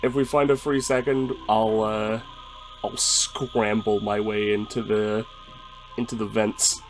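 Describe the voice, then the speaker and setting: A man's voice: on the low side, flat delivery, measured pace, soft background music, low-quality recording. A man playing a tabletop role-playing game, chatting casually with hesitant, stop-start phrasing.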